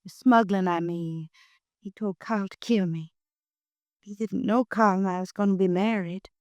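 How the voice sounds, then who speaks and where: An actress playing a frightened young woman, speaking plain and hushed in a 1950s radio drama. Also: medium pitch, fairly even intonation, normal speed, dry recording, lightly acted. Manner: hushed, plain-spoken, ungrammatical.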